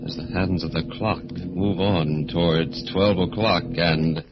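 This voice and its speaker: An actor as a grave, ominous narrator, delivering melodramatic old-time radio thriller narration. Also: male voice, low voice, fairly even intonation, unhurried, background noise. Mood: ominous, urgent. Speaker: narrator and announcer. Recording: muffled vintage radio recording. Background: very loud dramatic music.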